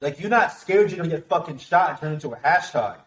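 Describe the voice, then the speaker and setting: A man speaks quickly and emphatically, swearing freely as he makes his points. His voice rings in a roomy, echoey space. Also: a little high, expressive. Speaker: guest, active-duty soldier. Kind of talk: casual conversation.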